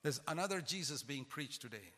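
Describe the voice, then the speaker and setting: A man's voice: mid-range voice, expressive, brisk, sharp tone, big-room sound. A man who is a pastor, preaching a sermon with emphatic, repetitive cadence.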